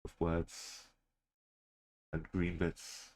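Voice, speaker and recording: A man's voice: sits low, completely flat delivery, very slow, darkish tone. A man streaming a game, thinking aloud in short muttered phrases. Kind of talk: commentary while playing a game.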